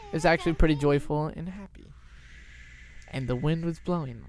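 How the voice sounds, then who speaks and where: A young man telling an improvised story in a halting, informal way. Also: fairly high pitch, a little mellow, a little hiss or hum. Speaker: storyteller, show host.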